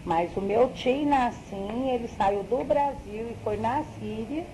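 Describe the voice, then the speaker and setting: A woman's voice: fairly high pitch, slow pace, noisy background. A middle-aged woman recalling her family history in an interview, explaining things carefully and hedging as she reasons aloud. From Brazil. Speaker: interviewee, daughter.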